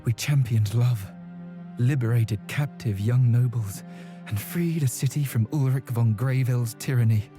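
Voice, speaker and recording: A man's voice: medium pitch, a little slow, natural voice. A man playing a devout, brooding medieval warrior, narrating a quest solemnly in a video game. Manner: solemn, pious. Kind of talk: dramatic scripted narration in a game. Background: music.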